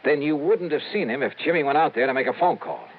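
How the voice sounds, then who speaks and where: An actor playing a wry insurance investigator who also narrates the story, in old radio drama style. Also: male voice, fairly high pitch, animated. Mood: questioning. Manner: wry, brisk first-person narration. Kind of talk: 1950s radio drama acting. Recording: noisy phone-like old radio broadcast.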